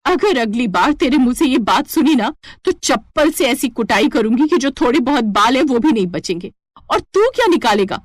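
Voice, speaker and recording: A woman's voice: high-pitched, expressive, normal speed, no room sound, clean studio sound. A woman voicing a brave, caring and fiercely protective village wife and mother in a moral story, firm and feisty. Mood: fierce, threatening.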